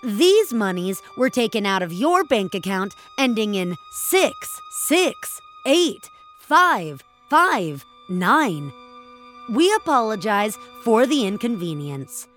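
A woman's voice: mid-range voice, big pitch swings, slow pace, fairly bright tone, soft background music. A woman voicing a bland, courteous American automated bank assistant, giving a formal message in an audio drama. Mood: robotically polite.

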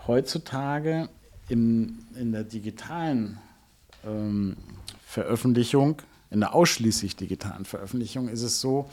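A middle-aged man giving explanatory answers as an interview guest, halting and deliberate, with rather formal wording. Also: mid-range voice, darkish tone. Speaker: interview guest, music label executive.

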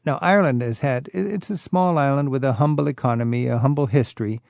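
A male host with a mellow, gravelly voice asks eager, curious questions. The recording is dry and close, with no room sound. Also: mid-range voice, fast talker, dry recording. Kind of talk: travel interview.

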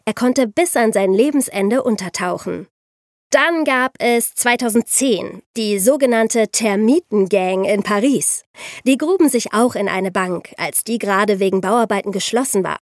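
A woman hosting a podcast, telling true-crime stories in a conversational way and addressing listeners directly, like an animated storyteller. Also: mid-range voice, unhurried, no room sound, studio quality. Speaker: podcast host.

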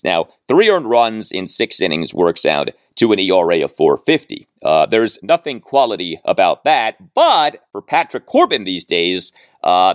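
A man hosting a sports show, giving recap commentary in an emphatic, deliberate way with clipped, stat-heavy phrasing. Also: lowish voice, no echo, studio quality. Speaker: sports podcast host.

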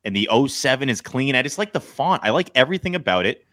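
A man's voice: mid-range voice, very animated, quick pace, sharp tone, dry recording, clean studio sound. An American man in his thirties talking casually and with opinions, like a sports podcast co-host. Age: 30s.

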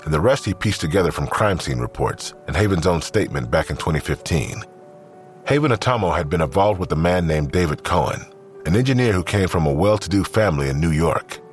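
A man reading scripted narration for an audio drama. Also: low voice.

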